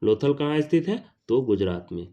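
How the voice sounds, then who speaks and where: A man with an Indian accent delivers an exam lesson as a teacher, in question-and-answer form. His voice is dark and mellow at an average pitch. He repeats questions and answers deliberately, and the recording sounds a little dull. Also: very animated.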